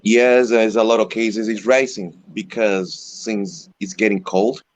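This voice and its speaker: Low-voiced Venezuelan-raised man, halting delivery. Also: steady tone, slight background noise. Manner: halting, frequent "you know" fillers. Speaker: interview guest, electric skateboard rider. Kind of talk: casual video call interview.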